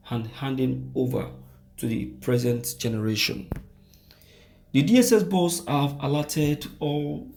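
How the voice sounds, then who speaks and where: A man's voice: medium pitch, vocal fry, darkish tone. A man with a Nigerian accent reading out news and giving impassioned commentary, repeating phrases for emphasis.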